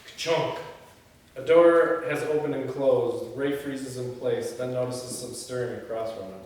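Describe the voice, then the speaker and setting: A man's voice: medium pitch, unhurried, darkish tone. A man at a table read, reading screenplay directions and chatting casually with the group. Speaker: script reader at a film table read. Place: echoey indoor room. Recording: very echoey room, far from mic.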